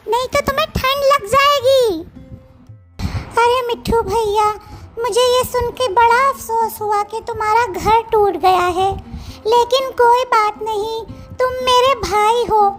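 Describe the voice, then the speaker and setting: Female myna bird, cartoon, very high-pitched, motherly, humble, caring, faint music behind. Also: fairly bright tone. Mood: concerned, kind. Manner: polite, pleading, sisterly way of talking. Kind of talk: children's cartoon voice acting.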